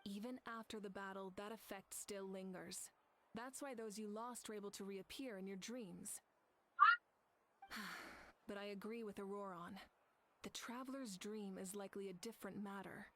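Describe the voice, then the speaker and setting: A woman playing a composed, solemn leader in a video game, speaking formally and with measured care. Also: medium pitch, fairly even intonation, background noise, natural voice. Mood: solemn, explanatory. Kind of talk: video game character acting.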